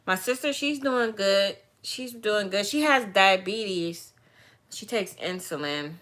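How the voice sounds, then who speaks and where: A woman, chatting casually and candidly with viewers, rambling and turning soft and heartfelt as she talks. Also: medium pitch, expressive, slow. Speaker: vlogger answering viewer questions. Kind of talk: casual livestream chat.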